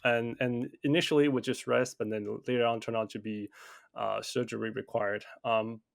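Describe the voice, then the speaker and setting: Gravelly-voiced male podcast host. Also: medium pitch, flat delivery, moderate pace. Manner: upbeat, many fillers and restarts. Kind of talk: interview podcast.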